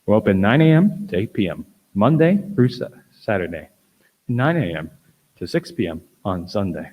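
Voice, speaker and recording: A man's voice: lowish voice, sing-song, normal speed, dry recording, lo-fi sound. A man reading a scripted local advertisement for a store.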